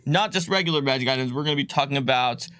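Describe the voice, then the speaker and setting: A man's voice: medium pitch, racing, pushed and bright, no room sound. Casual, rambling man in a dry room. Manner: informal, rambling, jokey asides. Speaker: game designer answering viewer questions on a livestream. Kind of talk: casual livestream Q&A chat.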